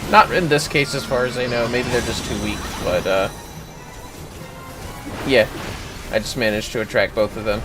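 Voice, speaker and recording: A man's voice: medium pitch, moderate pace, pauses often. A man hosting a livestream, casually commenting on a game and explaining how to play it. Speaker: livestream host, gamer. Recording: game music behind the voice. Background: game music.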